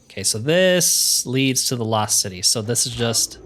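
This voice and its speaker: A man's voice: mid-range voice, animated, normal speed, fairly bright tone, a little hiss or hum. A man giving casual, wry commentary as he plays through a video game, often with dry humor. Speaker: gaming video host.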